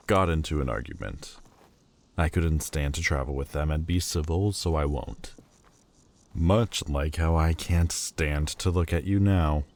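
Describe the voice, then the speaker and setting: A man's voice: extremely low pitch, a little mellow. A man voicing a proud, bitter demon lord who speaks in a cold, cutting, haughty way and hides a lovesick heart. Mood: grudging. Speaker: voice actor. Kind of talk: audio roleplay acting.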